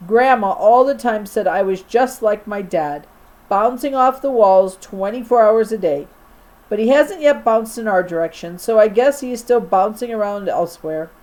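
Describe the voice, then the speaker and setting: A woman teacher reading a children's novel aloud in an expressive storytelling style for a young class. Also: medium pitch, poor audio.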